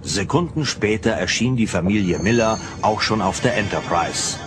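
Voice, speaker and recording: A man narrates a radio play in a scripted, dramatic style. His voice is fairly low. Loud music fills the background. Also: normal speed.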